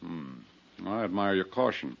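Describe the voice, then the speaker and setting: An actor playing a self-assured, shrewd older company chairman in an old-time radio drama, speaking formally and deliberately. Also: sits low, lively intonation, creaky, highs cut off, heavily acted. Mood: knowing, assured.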